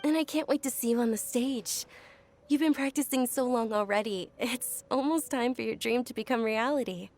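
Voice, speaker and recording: A woman voicing a gentle, gracious young female patron in a video game, polite and composed. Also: fairly high pitch, moderate pace, soft background music, natural voice. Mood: gentle, encouraging. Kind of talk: video game character acting.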